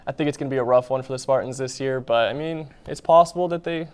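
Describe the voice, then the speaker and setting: A man's voice: medium pitch, fast, a little mellow, smooth. A man giving casual sports commentary as a panelist in a discussion.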